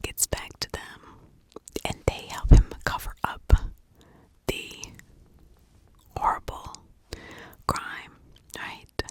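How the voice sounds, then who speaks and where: A woman doing ASMR true-crime storytelling in a breathy whisper, chatting from memory with dramatic emphasis on key words. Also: slow, pauses often. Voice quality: breathy, unvoiced whisper with creaky edges.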